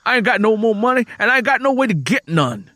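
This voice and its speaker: Guy doing a poor farm dad, proud and desperate, raised forceful voice, audiobook drama. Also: high-pitched, very animated, fast, no room sound, clean studio sound, voice pushed up, full character voice. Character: proud, desperate, ashamed poor sharecropper father.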